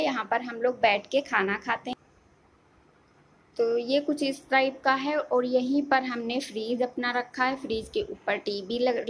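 A young woman with a fairly high voice. She talks casually, as a vlogger showing viewers around a home. She explains things plainly and often rephrases what she has just said. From India. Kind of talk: casual house tour narration. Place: inside a family house, moving room to room. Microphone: handheld phone behind the camera.

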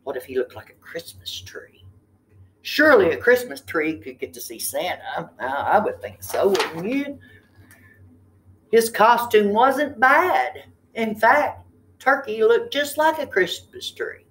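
A woman's voice: mid-range voice, lively intonation, measured pace, a bit bright. An older woman reading a children's picture book expressively, with chatty asides and questions to the listeners. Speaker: read-aloud storyteller. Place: indoor room with tiled ceiling.

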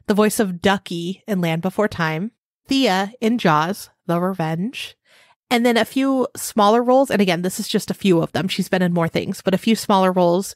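A woman's voice: medium pitch, dry recording, studio quality. Woman co-hosting a true crime podcast. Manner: conversational, reading researched notes. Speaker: podcast co-host.

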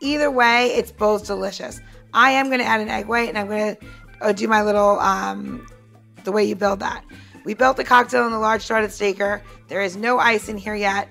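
A woman's voice: mid-range voice, fairly even intonation, normal speed, vocal fry, fairly bright tone, soft background music. A woman co-hosting a podcast as bartender, casually talking listeners through a cocktail demonstration in a chatty way. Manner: chatty, talks the listener through each step.

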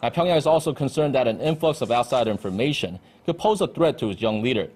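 A man's voice: mid-range voice, expressive, fast, a little mellow. A man in his thirties delivering a live news report as a correspondent from an indoor briefing room. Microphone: handheld-style reporter mic on desk.

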